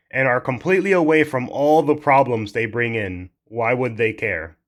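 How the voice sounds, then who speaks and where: A young man commenting in a casual, heated rant, blunt and swearing freely, often restarting his sentences. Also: medium pitch.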